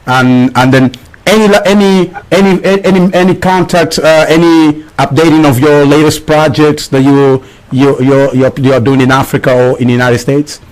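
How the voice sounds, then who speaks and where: A man's voice: on the high side, steady tone, normal speed, poor audio. A man hosting a live radio interview, eager and stumbling, often repeating words as he forms his questions. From Angola or Congo. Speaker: radio host.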